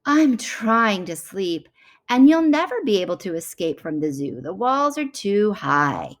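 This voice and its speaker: Weary know-it-all female chimp for a storybook, played by a woman: scolding, drawn-out delivery, expressive pitch swings. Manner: sighing, drawn-out, correcting.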